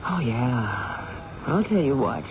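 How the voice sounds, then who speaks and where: An actress playing a plainspoken, uneasy small-town nurse in an old-time radio drama. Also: female voice, extremely low pitch, very animated, takes it slowly, exaggerated voice. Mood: uneasy, confiding. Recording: noisy, muffled old radio recording.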